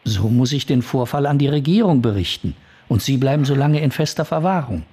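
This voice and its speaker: An actor playing an elderly, stern but decent magistrate in a German radio play, husky and measured. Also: male voice, medium pitch, animated, warm tone. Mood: conciliatory, measured. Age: older. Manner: official, measured.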